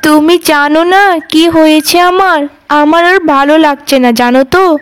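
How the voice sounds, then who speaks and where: A woman's voice: high voice, unhurried. A woman voicing a cruel, scornful sister-in-law in a cartoon, nagging and taunting sharply, with music underneath. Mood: aggrieved. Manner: sharp-tongued scolding, taunting. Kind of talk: animated cartoon drama voice.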